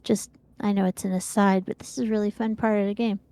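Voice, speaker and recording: A woman's voice: mid-range voice, little pitch movement, quick pace, dark tone, no echo. A woman chatting casually as she plays a video game as co-host of a livestream. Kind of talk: casual chat while playing a video game.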